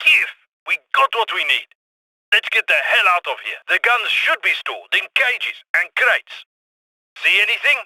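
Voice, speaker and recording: A bright, high-voiced heist planner barks brisk, wry orders to his crew. The sound is dry and close, with no room around it. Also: no echo, exaggerated voice, rough recording. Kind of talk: game voice lines.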